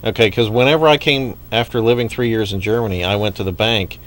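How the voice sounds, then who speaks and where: Male co-host, somewhat low voice, inquisitive and chatty. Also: quick pace, poor audio. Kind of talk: casual radio chat.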